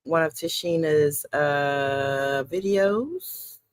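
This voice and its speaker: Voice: mid-range voice, very slow, no echo, clean studio sound. A host of an online radio show, greeting viewers and chatting casually during a livestream in an upbeat, welcoming way. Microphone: streaming setup, computer mic.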